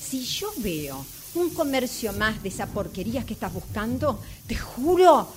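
A woman's voice: on the high side, sing-song, unhurried. A woman with an Argentine accent playing a fed-up, complaining travel companion in an amateur radio drama. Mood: indignant, fed up. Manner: complaining, repetitive, indignant. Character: exasperated, hungry, freezing woman traveling companion. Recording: noisy recording with hissy ambience.

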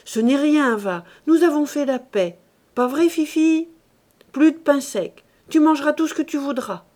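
A woman's voice: high voice, unhurried, a little mellow, voice pushed up. A miserly old cooper from a classic novel , played by a woman narrator. He blusters and cajoles his family in exclamatory bursts, wheedling one phrase after another. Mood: coaxing, flustered.

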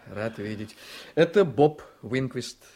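A man's voice: mid-range voice, expressive, slow, mellow. A sardonic politician in a radio drama, medium male voice, blunt, sure of himself, emphatic. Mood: guarded, confident. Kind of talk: Russian radio drama acting.

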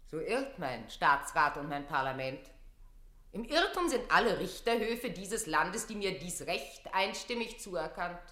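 An actress playing a proud, commanding queen in a classical radio play, with regal, measured, declamatory delivery. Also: lowish voice, sing-song, sharp tone, echoey room, exaggerated voice. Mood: stern indignant.

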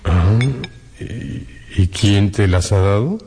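A man's voice: lowish voice, takes it slowly, mellow, in a large hall, slight background noise. An actor playing a solemn, authoritative middle-aged father in a radio drama. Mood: curious.

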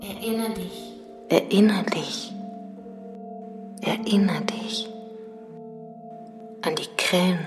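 A woman playing a mysterious, insistent summoner of memories in a poetic radio drama, hushed and incantatory, often whispering. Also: lowish voice.

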